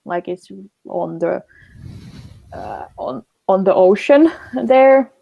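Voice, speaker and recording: Young woman, bit of vocal fry, talks loose and casual with lots of fillers. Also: medium pitch, expressive, takes it slowly, narrow, tinny audio. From Finland. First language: Finnish. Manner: chatty, many fillers, light laughs. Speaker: guest. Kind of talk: casual interview answers.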